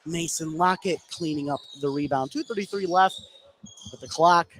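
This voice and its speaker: A man's voice: fairly high pitch, normal speed, fairly bright tone. A man doing live play-by-play commentary of a basketball game for radio. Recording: clear background gym noise. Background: gym ambience of a live game.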